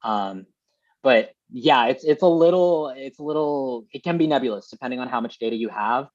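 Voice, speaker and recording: A young man from Colombia talks through his research on a video call, with frequent ums and restarts. His voice is mid-pitched. The audio is dull and lo-fi. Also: normal speed. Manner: explains at length, restarts, many ums. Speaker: presenting Fulbright scholar. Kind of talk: casual academic Q&A.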